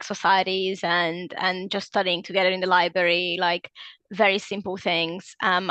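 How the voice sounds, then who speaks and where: A woman's voice: medium pitch, fairly bright tone, no echo. Hesitant young woman, dry sound. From Hungary. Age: 20s. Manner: hesitant, many ums and likes, self-correcting. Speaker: alumna interviewee. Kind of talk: casual interview answers.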